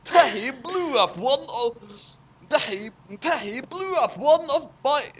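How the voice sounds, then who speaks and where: A man reading fan fiction aloud, halting and repeating garbled phrases. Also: very high-pitched, expressive, a bit bright, a little hiss or hum.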